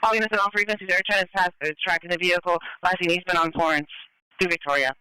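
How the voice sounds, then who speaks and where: A male police dispatcher with a high, crisp voice relays pursuit updates over the radio. He is clipped and procedural, repeating reports at a quick pace, and the sound is dry. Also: fairly even intonation, pushed and bright, no room sound, rough recording. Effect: police radio transmission.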